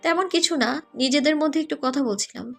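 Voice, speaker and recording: A woman's voice: sits high, brisk, gravelly, faint music behind. A woman playing a pert, nosy young female college student in an audio story, with a questioning manner. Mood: worried. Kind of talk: dramatized audio story acting.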